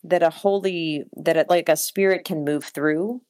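A woman's voice: low voice, fairly even intonation, moderate pace, a little mellow, no room sound, clean studio sound. An American woman talking reflectively in a casual interview, with many fillers and laughs. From United States. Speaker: singer-songwriter guest.

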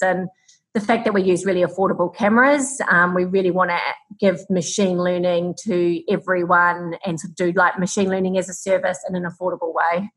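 Kiwi woman, kinda low voice, chatting in an interview as a founder and farmer. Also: fairly bright tone, slightly rough, rough recording. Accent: New Zealand. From New Zealand. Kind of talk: conversational interview answers.

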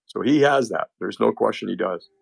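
A man talking hockey as an analyst in a casual chat, animated and often restarting his sentences. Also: lowish voice, moderate pace, gravelly, dry recording.

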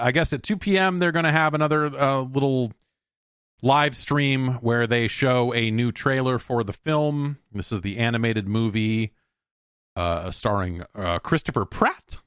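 A middle-aged man hosting a podcast, giving casual solo commentary on news in a rambling, halting way with repeated words. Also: medium pitch, lively intonation, fairly bright tone, clean tone, no echo, clean studio sound. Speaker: podcast host.

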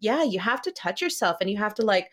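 A woman hosts a casual interview with highly varied, lively intonation. Her pitch is average for a woman, and she speaks in an emphatic, affirming manner. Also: quick pace. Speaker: podcast host interviewer.

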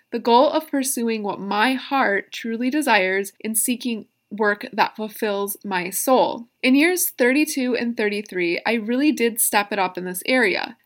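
A woman in her thirties talks straight to her listeners, earnest and personal, and breaks off now and then for emphatic asides. Her voice sits a little high for a woman. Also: fairly bright tone. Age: 30s. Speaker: podcast host. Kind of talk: motivational solo podcast.

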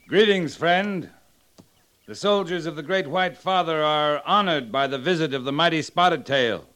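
An actor playing a stern, steady cavalry captain in a radio western, speaking with formal, measured authority. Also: male voice, on the high side. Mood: calm, firm. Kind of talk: old radio western acting.

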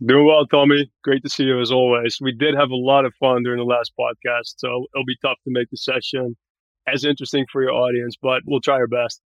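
A man's voice: medium pitch, level tone, a little fast, no room sound. Male interview guest from Barbados, casual but articulate explainer, close dry sound, slightly muffled. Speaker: podcast guest, tech growth manager.

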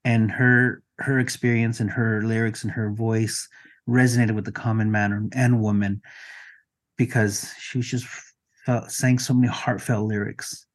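A man's voice: lowish voice, flat delivery, measured pace. A man from Mexico, a native Spanish speaker, talking earnestly and reflectively as a guest in a conversational podcast interview. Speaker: podcast guest, music collection digitizer.

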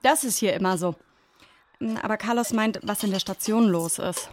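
A woman's voice: mid-range voice, moderate pace, darkish tone, a little hiss or hum, natural voice. A woman playing a worried, resolute jungle station worker in a children's audio play, with an earnest, natural delivery. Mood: friendly, explaining.

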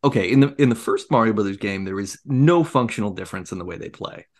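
A man's voice: lowish voice, expressive, quick pace, crowd noise. A man co-hosting a podcast, chatting casually about films and games in an explanatory, detail-minded way. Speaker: podcast co-host. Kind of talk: casual film and game discussion.

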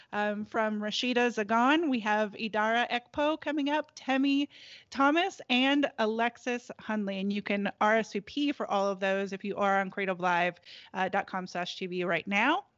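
A woman's voice: fairly high pitch, fairly even intonation, moderate pace, fairly bright tone, no room sound. Woman host on a dry, dull line. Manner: friendly, promotional, with fillers. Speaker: podcast host. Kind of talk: hosting an interview.

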